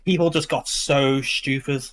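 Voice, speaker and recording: A man's voice: mid-range voice, steady tone, measured pace, fairly bright tone, slight background noise. A young man with a Liverpool accent, chatting casually and informally with plenty of slang. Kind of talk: casual chat.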